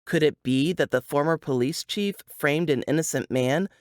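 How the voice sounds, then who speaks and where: A narrator reading a scripted suspense book trailer in a dramatic, deliberate way. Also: mid-range voice, no echo.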